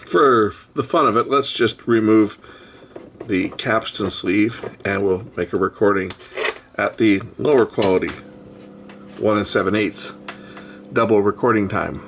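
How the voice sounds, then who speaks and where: A man's voice: lowish voice, unhurried, long pauses, a bit bright, a little hiss or hum. A middle-aged man giving casual, hands-on commentary while demonstrating electronics at an indoor workbench. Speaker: presenter of an electronics repair video. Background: music from tape and player.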